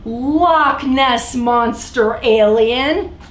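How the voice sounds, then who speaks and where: A woman voicing an obliging male blob bus driver in a picture book, with formal, drawn-out, emphatic announcements. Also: on the high side. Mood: welcoming, formal. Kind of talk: picture-book read-aloud. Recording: roomy echo, some background noise.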